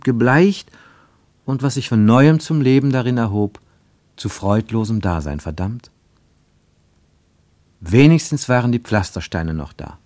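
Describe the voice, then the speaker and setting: Man, narrator, low voice, dry close sound, measured literary delivery. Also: darkish tone, no room sound. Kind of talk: scripted radio-play narration.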